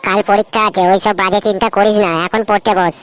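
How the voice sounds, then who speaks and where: A woman's voice: mid-range voice, little pitch movement, fast talker, no echo, close to an everyday voice. A woman voicing a cocky, foul-mouthed teenage schoolboy in a comedy cartoon, brash and full of slang. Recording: loud background noise.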